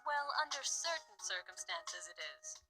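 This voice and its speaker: A woman voicing a guarded, formal wife in a murder mystery visual novel, with measured, precise speech. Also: mid-range voice, sing-song, over music. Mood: measured, explanatory.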